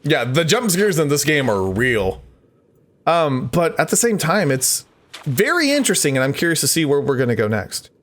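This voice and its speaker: Animated, higher-voiced man playing games. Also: normal speed. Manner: reactive, interjecting, talking to viewers. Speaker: gaming YouTuber host. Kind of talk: casual let's-play commentary, reading game text aloud.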